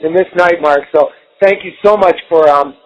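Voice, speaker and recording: A hoarse middle-aged man, a company founder, welcoming a guest on a conference call, excited and nervous, rambling with many restarts. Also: fairly high pitch, normal speed, dull audio.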